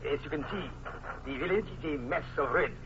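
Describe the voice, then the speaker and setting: Man voicing a fatalistic Prussian colonel, formal and exact, fairly high voice, dull hissy old radio sound. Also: lightly acted. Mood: grim. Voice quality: precise, formal, deliberate phrasing. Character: stern Prussian colonel, coldly logical, fatalistic. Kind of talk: 1950s radio drama acting. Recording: noisy lo-fi old radio recording.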